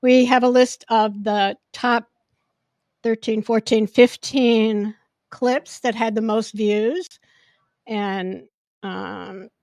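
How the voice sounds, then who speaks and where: A woman hosting a podcast in casual chat, with a loud voice that projects when she gets excited. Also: a little high, slow, no echo. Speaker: podcast host. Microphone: podcast microphone.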